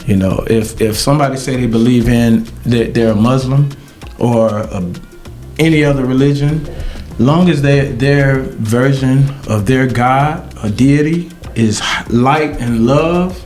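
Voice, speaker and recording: Slow, halting middle-aged man. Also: mid-range voice, a little mellow, soft background music. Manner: halting and reflective, with many ums and uhs. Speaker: podcast host. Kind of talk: casual podcast chat.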